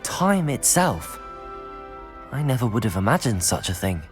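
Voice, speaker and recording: A man's voice: mid-range voice, sing-song, unhurried, soft background music. An actor playing a polite, curious young male wizard student in a video game, with an earnest manner. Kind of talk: video game character acting.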